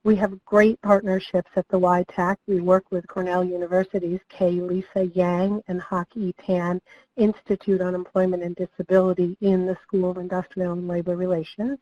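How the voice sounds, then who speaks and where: A slightly hoarse woman, a project director, welcomes people to a training webinar and gives an overview, friendly and with frequent ums. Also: on the low side, flat delivery, moderate pace, darkish tone, no room sound, lo-fi sound. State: slightly hoarse, losing her voice.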